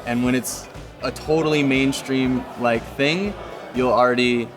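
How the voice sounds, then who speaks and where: A man's voice: medium pitch, steady tone, a little slow. A man speaking wryly and jokingly in a casual interview. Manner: wry, joking. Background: music.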